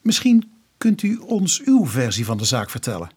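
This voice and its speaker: An actor playing an earnest police inspector in a Dutch radio drama, formal and careful, polite but pressing. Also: male voice, medium pitch, wildly swooping pitch, moderate pace, darkish tone, slightly rough. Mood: polite, probing.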